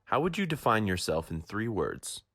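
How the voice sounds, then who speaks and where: A young adult interviewer asking scripted quick-fire questions in a polite, upbeat way. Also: mid-range voice, very animated, fairly bright tone.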